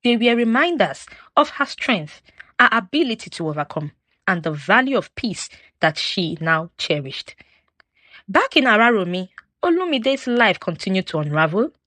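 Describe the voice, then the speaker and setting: Expressive woman storyteller, lively pitch, dry recording. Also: moderate pace, no room sound. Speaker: storyteller narrator. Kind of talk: scripted dramatic folktale narration.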